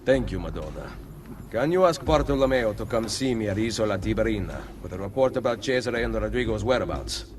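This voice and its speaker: Confident Italian assassin played by a man, lively intonation. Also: lowish voice, a little fast, slight background noise, lightly acted. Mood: composed, courteous. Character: confident, commanding Italian male assassin, protective and blunt. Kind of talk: dramatic video game acting.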